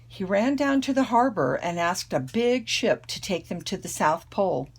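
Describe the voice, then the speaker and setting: An older woman, a grandmother with a husky, creaky voice, reading a children's picture book aloud. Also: lowish voice. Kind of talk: children's storybook read-aloud.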